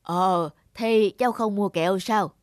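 A woman's voice: medium pitch, measured pace, no echo, studio quality, close to an everyday voice. A woman voicing a stern but loving elderly grandmother in a cartoon. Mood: gentle. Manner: scolding, then tender. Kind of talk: cartoon voice acting. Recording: music bed under the voice.

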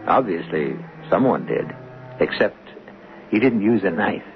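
An actor playing a wry, ominous mystery host, speaking slowly and deliberately on a 1970s radio drama. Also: male voice, low voice, very animated, full character voice. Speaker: host and narrator. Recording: tinny, noisy 1970s radio recording.

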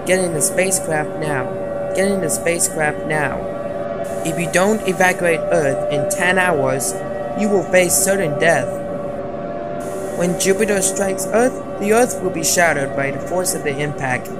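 A man's voice: fairly high pitch, unhurried, loud music behind the voice. Robotic male emergency alert announcer. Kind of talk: formal scripted emergency broadcast announcement.

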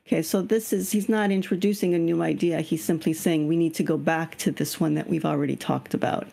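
A woman's voice: deep voice, fairly even intonation, a little fast, vocal fry, dark tone. A woman leading an online reading group on a video call. Her voice is slightly scratchy, and she explains patiently and keeps asking the group questions. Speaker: study group leader.

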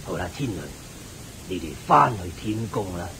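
A man's voice: expressive, gravelly, bright, forceful tone, heavily acted, poor audio. An actor playing a wise, benevolent Buddhist layman who teaches patiently in a Hong Kong Cantonese radio drama. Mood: benevolent, solemn. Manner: measured, benevolent, didactic. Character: kindly, wise male Buddhist layman sage. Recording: hissy, rumbly old radio recording.

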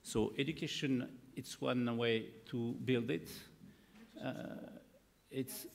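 A man in his fifties with a French accent, a native French speaker presenting on a conference panel in halting English, searching for words. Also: mid-range voice, gravelly. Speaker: media executive, panel speaker. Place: conference hall, indoors. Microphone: table gooseneck microphone. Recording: echoey hall, panel microphone.

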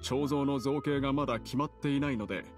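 アニメ調ゲームで、忠実で謙虚な若い補佐役を丁寧な敬語調で演じる男性。. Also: male voice, medium pitch, brisk, music in the background, close to an everyday voice. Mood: businesslike, polite. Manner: formal, deferential. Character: polite, loyal young male aide, humble and earnest. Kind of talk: Japanese anime game voice acting.

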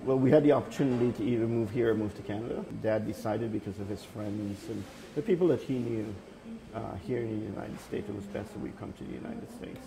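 A man's voice: lowish voice, quick pace, vocal fry, background noise. A middle-aged man from Guyana, a cafe co-owner, chatting casually in an interview. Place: indoors in a restaurant dining room. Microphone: lapel.

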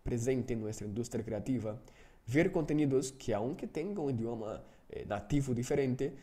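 A man's voice: medium pitch, mellow. Man leading a workshop. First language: Portuguese. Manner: rambling, self-correcting, frequent "¿no?" tags. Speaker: workshop instructor. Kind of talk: live online workshop lecture.

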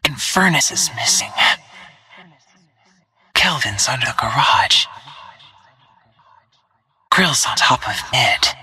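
A focused, stealthy female assassin from a shooter video game. Her voice is a husky, hissing near-whisper with a very sharp, bright edge. She gives short callouts slowly, with tight control. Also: rumbling low, full character voice. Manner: hushed, terse, controlled.

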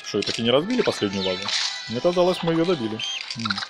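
A man's voice: mid-range voice, sing-song, quick pace. Chatty man over game music. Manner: playful, chatty, talks to the game characters. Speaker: gaming video host. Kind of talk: casual game walkthrough commentary.

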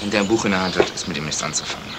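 An actor playing a lazy, teasing young fiancé in a 1970s radio crime drama, with a flippant, mocking way of talking. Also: male voice, deep voice, rapid-fire, lightly acted. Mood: mocking, amused. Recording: slightly noisy vintage radio recording.

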